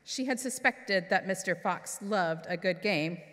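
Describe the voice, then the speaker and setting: A woman minister reading a children's story expressively, with dramatic pauses, in a large church hall. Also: on the low side, a bit bright. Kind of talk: expressive storytelling for all ages. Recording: echoey hall with long reverb.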